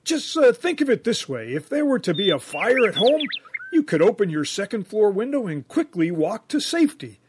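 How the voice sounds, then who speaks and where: A man's voice: high voice, big pitch swings, normal speed, background noise, pitched above the performer's own voice. A man playing an earnest, bumbling handyman dad in a comedy radio sketch, sheepish and hesitant when explaining himself. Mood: sheepish, defensive.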